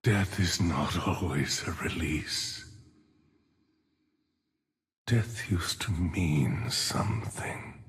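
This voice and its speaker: An actor playing an ancient, weary and grim necromancer monk in a video game, slow and solemn, with a gravelly, breathy rasp. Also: male voice, low voice, exaggerated voice. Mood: mournful, weary. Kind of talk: video game character voice lines. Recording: long cavernous reverb.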